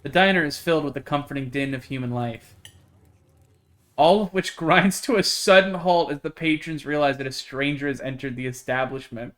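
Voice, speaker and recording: A man's voice: fairly high pitch, normal speed, fairly bright tone. A man streaming a game live, reading it aloud and reacting with exclamations and some swearing. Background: game music.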